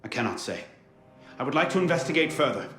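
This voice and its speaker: A man's voice: fairly high pitch, big pitch swings, fast. Young male assassin, game drama: determined, formal, defiant, roomy sound over music. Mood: contrite, earnest. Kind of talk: video game cutscene acting. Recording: roomy echo under loud music.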